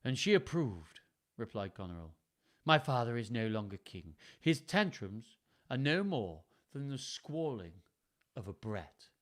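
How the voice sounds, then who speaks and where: A man's voice: mid-range voice, sing-song, unhurried, fairly bright tone. A man voicing a cold, scornful grown daughter in a storybook reading.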